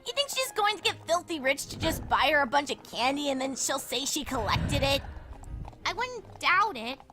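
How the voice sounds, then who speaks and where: A woman voicing plucky, matter-of-fact young fillies in an earnest, animated way for a fan fiction reading. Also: sits high, normal speed, music in the background.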